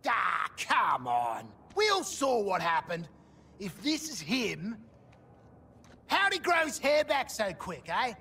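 A high-voiced brash Australian rogue. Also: male voice, wildly swooping pitch, takes it slowly, creaky, pushed and bright, husky, exaggerated voice. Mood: incredulous. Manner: cocky, informal. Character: brash, mouthy male rogue in a game. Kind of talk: video game cutscene acting.

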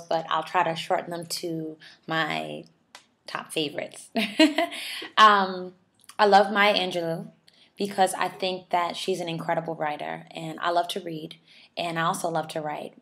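A woman's voice: on the low side, gravelly, fairly bright tone. Chatty young woman from New York. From New York City. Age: 20s. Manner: friendly, chatty, laughs often. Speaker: model and charity ambassador. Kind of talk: casual video answering viewer questions.

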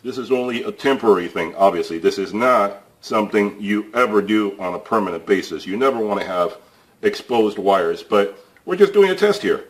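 A man with a fairly low voice talks through a repair as he works. He sounds relaxed and unscripted, thinking aloud with fillers. He is recorded in an indoor workshop, off-camera behind an overhead view of the bench. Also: normal speed, rough recording. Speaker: DIY repair channel host. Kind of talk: casual hands-on repair tutorial. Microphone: camera overhead of workbench, voice off-screen.

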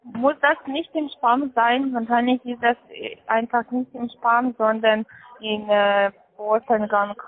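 A woman with a foreign accent in German, giving insistent, halting, repetitive step-by-step instructions as a call centre agent on a phone call. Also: on the high side, fairly even intonation. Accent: foreign, non-native German. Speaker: call centre agent selling a trading account. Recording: tinny band-limited phone line.

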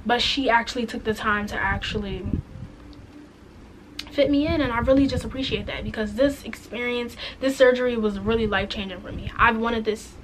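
A raspy-voiced woman in her twenties telling a frank, chatty story-time vlog to camera, full of "like" and "um". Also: a little high, a little fast, slight background noise, poor audio. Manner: chatty, frank, many "like" and "um" fillers. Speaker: vlogger. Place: indoors, plain light wall, small room. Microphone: camera in front, head and shoulders.

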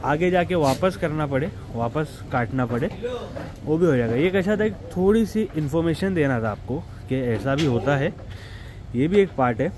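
A man's voice: a little high, expressive, moderate pace, a crowd in the background. A man, chatting casually to viewers as he shows goat farm work step by step. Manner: chatty, explains step by step, addresses viewers directly. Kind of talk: casual vlog narration. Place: goat farm and street, outdoors. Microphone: clip-on mic after setup. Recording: noisy outdoor street with traffic.

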